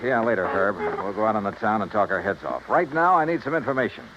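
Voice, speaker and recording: Man playing dogged insurance investigator, blunt and wry, animated pitch, muffled noisy old radio recording. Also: quick pace, close to an everyday voice. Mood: brisk, probing. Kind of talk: 1950s-60s radio detective drama acting and narration.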